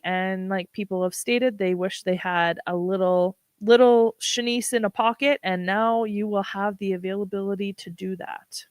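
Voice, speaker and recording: A woman hosting a livestream, talking casually with a froggy, hoarse voice and many ums. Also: mid-range voice, fairly bright tone, no room sound, clean studio sound. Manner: unhurried, with frequent ums. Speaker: livestream host.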